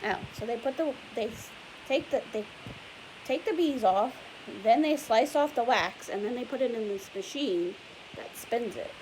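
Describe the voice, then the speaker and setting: A woman's voice: a little high, animated, normal speed. A woman reading a picture book aloud to young children, patiently explaining it in simple words and putting questions to the listener. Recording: clear background hiss and noise.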